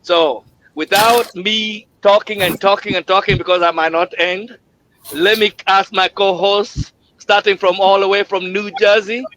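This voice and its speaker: A man's voice: high voice, fairly even intonation, unhurried, a bit bright, a little hiss or hum. A man, hosting a live online session with an exuberant, repetitive, preacher-like cadence. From Kenya. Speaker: host. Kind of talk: enthusiastic livestream hosting. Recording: dull lo-fi livestream audio.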